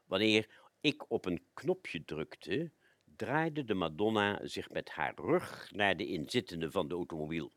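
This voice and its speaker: An actor playing a male chauffeur recounting his master's tale in a radio play, with measured, expressive phrasing. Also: sits low, no room sound. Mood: reverent, wry. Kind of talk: dramatic storytelling radio play.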